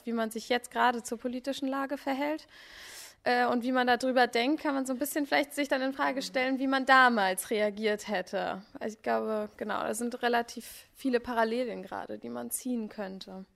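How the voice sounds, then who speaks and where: A woman's voice: on the high side, steady tone, fairly quick, creaky, fairly bright tone. A woman thinking aloud in a talk with a school class, turning the question back to the students. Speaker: actress answering a school class.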